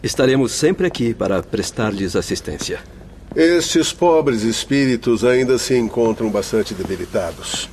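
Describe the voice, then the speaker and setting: A man's voice: mid-range voice, animated, unhurried, darkish tone, a little hiss or hum. An actor playing a kindly, courteous male doctor, formal and reassuring, in a radio drama.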